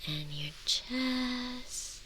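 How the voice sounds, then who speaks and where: A woman's voice: a little high, lively intonation, very slow, pushed and bright, heavily acted. A woman playing a sweetly doting but obsessive, volatile girlfriend in an intimate, close-miked ASMR roleplay. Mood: cooing, sweet. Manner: intimate, cooing, often dropping into breathy whispers. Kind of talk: scripted ASMR roleplay acting. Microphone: close binaural microphone.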